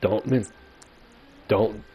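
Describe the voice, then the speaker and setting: A man's voice: on the low side, fairly even intonation, extremely slow, mellow. A man playing through a game, reading dialogue aloud with casual asides and some stumbles. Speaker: gamer making a playthrough. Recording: clearly noisy background.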